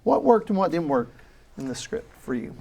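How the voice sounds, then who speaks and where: A man's voice: a little high, big pitch swings, normal speed, vocal fry, darkish tone. A man hosting a live panel, moderating feedback after a table read. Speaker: host.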